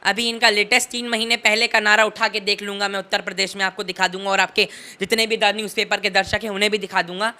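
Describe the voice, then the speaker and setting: A man's voice: sits high, level tone, quick pace, hardly any pauses, bright, forceful tone. A teenage young man giving impassioned political commentary in an interview, emphatic and oratorical, leaning on rhetorical repetition.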